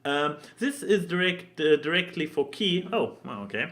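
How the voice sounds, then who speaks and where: A man's voice: a little high, expressive, normal speed, fairly bright tone. A man co-hosting a casual podcast, meandering and repeating himself as he hunts for words. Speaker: podcast co-host.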